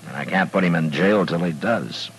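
Very deep, terse American frontier lawman, played by a man. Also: normal speed, natural voice. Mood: stern, calm. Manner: terse, deadpan, laconic. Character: steady, dry, unflinching frontier lawman, adult man. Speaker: actor in a radio western. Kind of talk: old-time radio drama acting. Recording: noisy vintage radio recording with hum.

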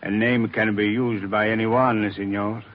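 An actor playing a worried, earnest Sicilian bar owner with an Italian accent in a 1940s radio drama. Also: male voice, on the low side, level tone, a little slow, lightly acted. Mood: guarded. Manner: earnest, cautionary. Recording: muffled, noisy old 1949 radio recording.

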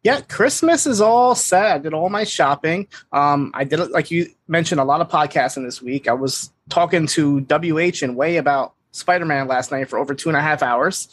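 A man, a guest on a podcast, talking in a relaxed way while losing his voice. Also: a little high, normal speed, hardly any pauses. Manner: relaxed and talkative. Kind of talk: casual chat.

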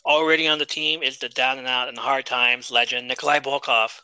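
A man's voice: mid-range voice, fairly even intonation, fairly quick, pushed and bright, clear, resonant tone, dry recording. A man co-hosting a casual wrestling podcast, chatty and wry, often laughing at his own jokes. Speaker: podcast co-host.

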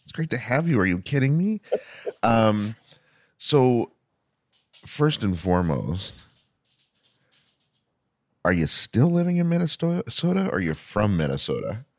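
A man hosting a podcast interview, reading a scripted opening and then chatting casually in an animated way with quick tangents. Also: lowish voice, no room sound, studio quality. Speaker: podcast host.

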